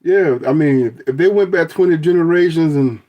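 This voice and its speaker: A man's voice: fairly high pitch, a little fast, highs cut off. A middle-aged man talking through his DNA results on a phone, rambling and joking with frequent restarts. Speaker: vlogger. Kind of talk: casual review of DNA results.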